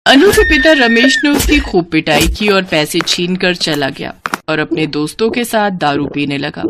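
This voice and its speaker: A woman's voice: lowish voice, lively intonation, moderate pace, noisy background. A woman narrating a cartoon story in a scripted, emotive storybook manner.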